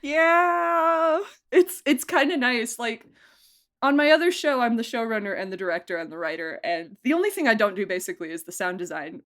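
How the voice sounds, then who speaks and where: A young woman producer and director being interviewed, talking with enthusiasm, laughing often and speaking in quick bursts. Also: a little high, animated, a bit bright. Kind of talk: podcast interview.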